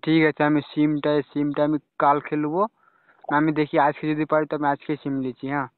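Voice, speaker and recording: A man's voice: fairly high pitch, monotone, fast, no room sound. Young man on a dull phone recording. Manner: repeatedly checking with questioning tags. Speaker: caller talking to a romantic partner. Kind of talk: casual private phone conversation. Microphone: phone call.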